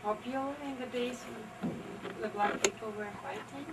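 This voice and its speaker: A woman's voice: fairly high pitch, fairly even intonation, normal speed, very noisy. A woman speaking informally from the audience at a live event. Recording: far from mic, loud music over voice.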